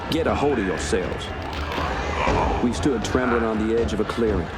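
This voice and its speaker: An actor playing a grim, commanding police officer in a radio horror drama, with a dramatic, authoritative delivery. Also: male voice, lowish voice, moderate pace, a loud crowd, lightly acted. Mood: stern, commanding. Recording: loud dramatic music and effects behind.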